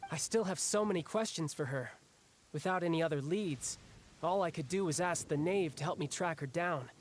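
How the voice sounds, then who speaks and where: An actor playing a breezy, cocky young warrior in an anime video game, with a casual, boyish, wry manner. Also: male voice, on the high side, normal speed, slight background noise, close to an everyday voice. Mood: determined. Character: breezy, cocky young warrior man, restless and battle-hungry.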